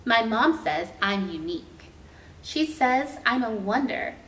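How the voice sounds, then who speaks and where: Slow-paced woman reading a picture book, roomy sound. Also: fairly high pitch, animated, fairly bright tone. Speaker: library storytime host reading aloud. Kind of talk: children's picture book read-aloud. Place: indoor room with painted mural. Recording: roomy, distant mic, faint noise.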